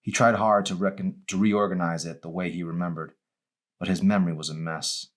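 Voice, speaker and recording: A man's voice: low voice, steady tone, brisk. A man reading his own novel aloud as a dramatic audiobook narration.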